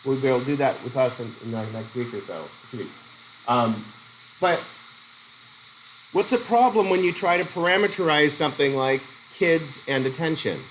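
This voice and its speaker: A middle-aged man with a cold teaching a psychology methods class, halting and restarting as he questions students in a whiteboard classroom. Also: mid-range voice, expressive, a little hiss or hum, rough recording. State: has a cold. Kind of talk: classroom lecture.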